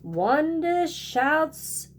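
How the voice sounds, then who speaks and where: A woman's voice: high voice, big pitch swings, drawn-out words, a little hiss or hum. A woman kindergarten teacher reading a picture book aloud and talking directly to young children in a sing-song way.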